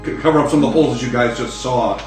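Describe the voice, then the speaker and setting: Echoey male game master, casual and halting. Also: medium pitch. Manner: casual, halting, drawn-out narration. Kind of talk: improvised tabletop game narration. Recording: echoey room, slightly distant, faint music.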